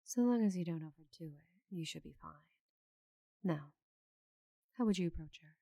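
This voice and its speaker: A woman voicing a giant female orc knight, teasing, confident and tenderly flustered, in a soft, intimate ASMR roleplay with breathy murmurs. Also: fairly low pitch, animated, moderate pace, dry recording. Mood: encouraging, confident.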